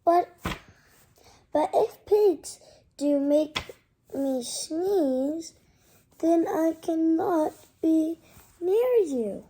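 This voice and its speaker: A child voicing an anxious, sneezy male elephant from a storybook, halting and dramatic. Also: very high voice, lively intonation, dark tone, heavily acted. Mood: worried.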